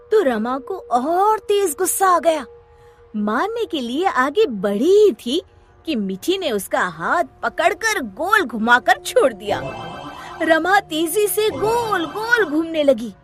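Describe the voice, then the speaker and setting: Female storyteller, high pitch, animated range, expressive children's story narration, dramatic, scripted.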